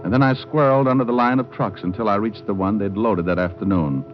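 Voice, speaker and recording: Low-voiced wry private eye played by a man. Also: fast, close to an everyday voice. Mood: wry, cool. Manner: wry, dry wit. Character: wisecracking, cool male private detective. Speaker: lead and narrator. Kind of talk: 1940s-50s hardboiled radio narration. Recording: dull old radio recording. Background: music.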